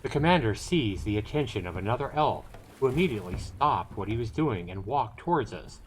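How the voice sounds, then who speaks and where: Livestream host, male, on the low side, very expressive reading of a visual novel, slight noise behind. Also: fairly quick. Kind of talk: reading a visual novel aloud with casual commentary.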